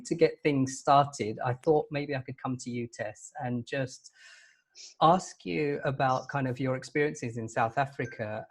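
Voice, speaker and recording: A man hosting an online panel discussion, introducing guests in a relaxed way with many ums and restarts. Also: medium pitch. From United Kingdom. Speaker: panel host.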